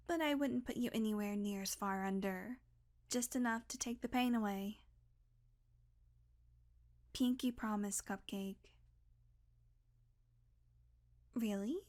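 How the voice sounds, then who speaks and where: Snarky, high-voiced vampire woman, acted out. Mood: reassuring, coaxing. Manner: sardonic, casual, swearing banter. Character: snarky, teasing female vampire, centuries old, grudgingly caring. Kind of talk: intimate audio roleplay addressed to the listener.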